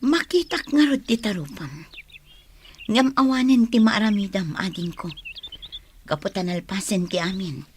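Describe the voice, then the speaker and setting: A woman's voice: mid-range voice, animated, moderate pace, pauses often, a little hiss or hum, close to an everyday voice. A woman playing a concerned older sister giving caution in an Ilocano radio drama.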